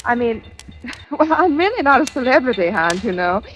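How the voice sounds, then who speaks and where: A woman's voice: fairly high pitch, very animated, normal speed. An actress playing an eager, kind-hearted young woman in 1940s radio drama, chatty and tentative in her asides. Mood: bright, excited. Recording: noisy old radio broadcast.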